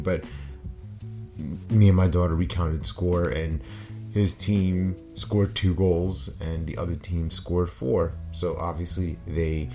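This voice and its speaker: A middle-aged man with a raspy, slightly hoarse voice, hosting a personal motivational podcast and speaking earnestly in short, paused phrases. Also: low-pitched, warm tone. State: hoarse after a concert. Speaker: podcast host.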